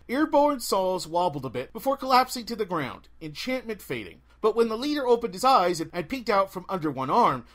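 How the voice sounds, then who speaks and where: Animated, higher-pitched male storyteller. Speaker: audiobook reader. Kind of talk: expressive scripted story narration.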